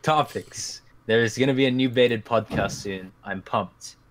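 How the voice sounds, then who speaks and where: A man's voice: medium pitch, measured pace, slight background noise, poor audio. A man hosting a casual podcast, talking over a voice call in a rambling, profane, self-mocking way. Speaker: podcast host.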